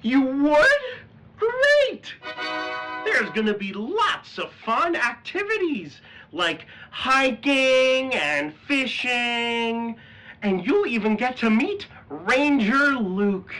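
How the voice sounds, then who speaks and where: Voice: medium pitch, big pitch swings, extremely slow, pushed and bright, soft background music, exaggerated voice. An actor voicing an eager, naive, friendly puppet boy in a children's show, with exaggerated bubbly delivery. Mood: bubbly, welcoming.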